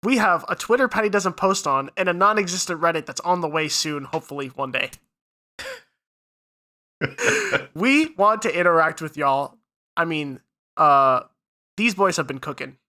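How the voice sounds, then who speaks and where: A man's voice: on the high side, expressive, normal speed, long pauses, sharp tone. A man hosting a casual podcast chat, sniffly from a head cold, upbeat and running the intros and plugs. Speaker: podcast co-host.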